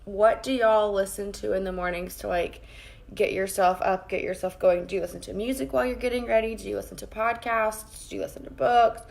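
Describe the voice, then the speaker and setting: A woman with a raspy voice, chatting casually with her viewers while she does her makeup at her vanity at home. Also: medium pitch, fairly even intonation, a little fast, a little mellow. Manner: chatty, greets viewers by name and rambles while working. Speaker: makeup vlogger. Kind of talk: casual live-streamed makeup tutorial. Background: faint background music.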